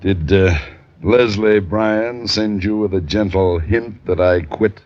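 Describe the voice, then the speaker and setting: A man's voice: on the low side, takes it slowly, a little mellow. An actor playing a stubborn, scheming newspaperman running for office in a 1950s radio drama. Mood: smooth, guarded. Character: stubborn, scheming male newspaper boss and candidate. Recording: dull, hissy old radio recording.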